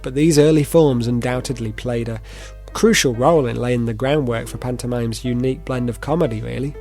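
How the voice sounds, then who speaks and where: A man's voice: mid-range voice, fairly quick, darkish tone, soft background music. A man narrating a video essay in a playful, theatrical manner, with informal asides. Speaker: presenter.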